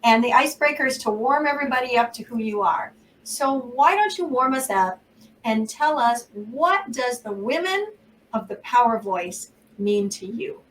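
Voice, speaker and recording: American woman host, upbeat and gushing, bright-pitched, a little far from the mic. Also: lively intonation, normal speed, slight background noise. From United States. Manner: effusive, enthusiastic. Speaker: show host. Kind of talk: online talk show hosting.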